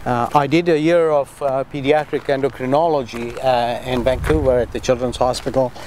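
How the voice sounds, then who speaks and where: A middle-aged man from Tanzania speaks as an interviewee, reflecting on his life and work. His delivery is halting and deliberate, with an earnest tone and a medium-pitched voice. Steady urban noise is clearly audible behind him. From Tanzania, East Africa. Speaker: interviewee, pediatrician and medical association president. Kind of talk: interview answers, reflective personal account.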